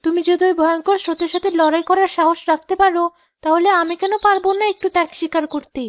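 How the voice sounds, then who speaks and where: A woman's voice: very high voice, fairly even intonation, quick pace, no room sound, clean studio sound. A woman voicing a poor fisherman's devoted, brave wife in a cartoon, earnest and encouraging. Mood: encouraging, resolute. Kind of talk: dramatic cartoon voice acting.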